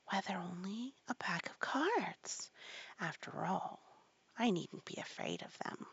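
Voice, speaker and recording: A woman's voice: medium pitch, big pitch swings, slow pace, gravelly, fairly bright tone, slightly rough, highs cut off, exaggerated voice. A woman voicing a polite but bold, self-possessed little girl in a storybook. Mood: polite, composed. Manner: polite, decided.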